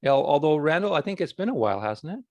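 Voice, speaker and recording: A man's voice: a little high, big pitch swings, fast talker, a little mellow, no room sound, dull audio. A man hosting a video call chat, easygoing and friendly, asking open questions. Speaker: host. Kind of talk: casual chat.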